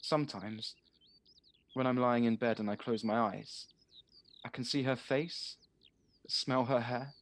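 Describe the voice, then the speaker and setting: A man's voice: medium pitch, fairly even intonation, unhurried, pauses often, lightly acted. A man playing an innocent, childlike, plain-spoken friend in an audio drama, speaking in short, earnest sentences. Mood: sad, questioning. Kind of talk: naturalistic audio drama acting. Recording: faint noise on home-device recording.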